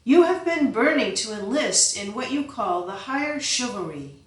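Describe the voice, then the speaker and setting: A woman's voice: fairly high pitch, very animated, moderate pace, recorded from a distance, pitched above the performer's own voice. Woman voicing a giant medieval lord, storybook, stern father, fierce commands, echoey, off-mic. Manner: solemn, fierce commanding exhortation.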